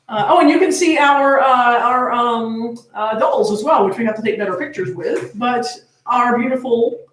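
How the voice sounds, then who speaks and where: Echoey, distant woman with a higher-pitched voice. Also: moderate pace, fairly bright tone. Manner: playful, sweary, teasing. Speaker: host reading fan fiction aloud to chat. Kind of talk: casual banter and dramatic fanfic reading. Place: indoors, echoey room, camera on tripod. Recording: very echoey room, distant from mic.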